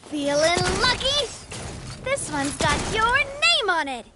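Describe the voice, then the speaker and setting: A woman's voice: extremely high pitch, big pitch swings, slow, heavy background noise, exaggerated voice. An actress voicing a cheerful, playful young woman fighter in an anime-style video game, bubbly and teasing. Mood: playful battle energy.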